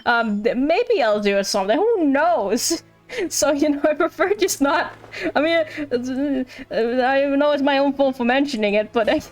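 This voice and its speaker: A woman's voice: high voice, expressive, a little slow, soft background music. A woman chatting casually on a game livestream, rambling and thinking aloud in a playful, giggly, self-deprecating way.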